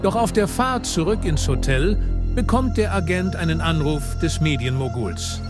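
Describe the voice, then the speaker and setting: High-voiced male narrator over music. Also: lively intonation, normal speed. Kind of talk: scripted audio drama narration. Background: film score music.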